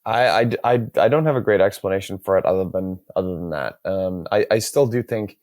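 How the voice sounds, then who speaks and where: A man hosting a podcast, talking through market ideas in a measured, hedging way. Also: deep voice, a little mellow, no echo, studio quality. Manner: measured, hedging, thinks aloud. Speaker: podcast host. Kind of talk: casual market chat.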